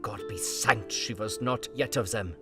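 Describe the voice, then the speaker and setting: An actor playing an earnest, God-fearing aging vampire hunter, narrating his diary solemnly in halting old-fashioned English. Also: lowish voice. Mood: fearful, impassioned. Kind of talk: dramatic diary narration in an audio drama. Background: guitar music.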